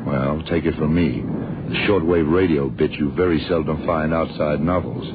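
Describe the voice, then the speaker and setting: An actor playing a gruff, confident government investigator in a radio drama, talking in a terse, world-weary way. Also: male voice, a bass voice, normal speed. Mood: brisk, reasoning. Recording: dull, noisy old radio recording.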